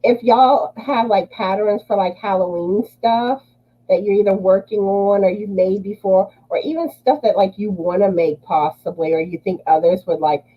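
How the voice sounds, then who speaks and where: Husky Southern woman hosting a livestream. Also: mid-range voice, moderate pace, narrow, tinny audio. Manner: laughs often while reading chat names. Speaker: livestream host. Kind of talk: casual livestream chat.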